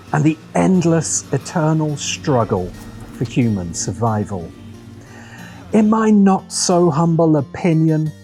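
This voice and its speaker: Measured, mellow man narrating with lively intonation. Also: fairly high pitch. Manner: deliberate, with dramatic pauses and emphasis; wry, opinionated. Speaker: video essay narrator and host. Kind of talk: scripted video essay narration.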